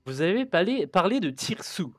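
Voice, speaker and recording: A man hosting a let's play, giving relaxed, chatty commentary on his gameplay and talking to himself as he goes. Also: high-pitched, moderate pace, dry recording.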